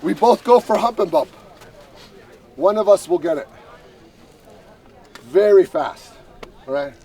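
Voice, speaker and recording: Coach type, high voice, picked up from a distance outdoors, checks "right?" after each point. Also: male voice, lively intonation, a little slow, mellow. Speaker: combat coach. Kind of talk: hands-on seminar instruction.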